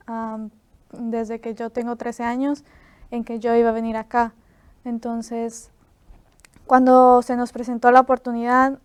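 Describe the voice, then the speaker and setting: A nineteen-year-old woman with an Ecuadorian accent, speaking as an interview guest. Her higher voice is clean and smooth, but she keeps to a flat, narrow pitch. She talks softly and fills pauses with many hums. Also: no room sound. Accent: Ecuadorian Spanish. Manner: soft-spoken, many "am" fillers. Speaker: student guest.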